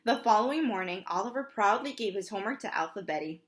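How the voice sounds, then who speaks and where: A woman's voice: medium pitch, lively intonation, fast. A woman preschool teacher reads a storybook aloud for young children, expressively, with simple asides to her listeners.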